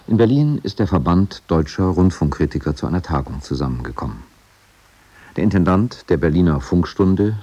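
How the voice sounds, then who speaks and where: A man narrates a scripted radio documentary in a low voice with a husky grain. His delivery is measured and factual. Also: warm tone.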